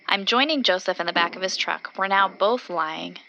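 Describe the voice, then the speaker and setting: A woman's voice: mid-range voice, moderate pace, creaky, bright, forceful tone, muffled recording, a little hiss or hum. A woman acting as an earnest, observant radio reporter who narrates events into her recorder in an audio drama. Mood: calm, reflective. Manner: descriptive, observant, speaking into a recorder.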